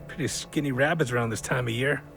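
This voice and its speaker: A man's voice: mid-range voice, fast, vocal fry, a bit bright. A man playing a sarcastic, sober killjoy in an audio drama, grumbling and mocking. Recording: music behind the voice.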